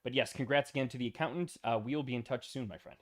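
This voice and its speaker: Male stream host, worn out and going hoarse, easygoing rambling chat. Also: mid-range voice, fast talker. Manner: loose and rambling, many ums and uhs, greets chat by name. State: tired and losing his voice. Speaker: livestream host. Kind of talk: casual livestream chat.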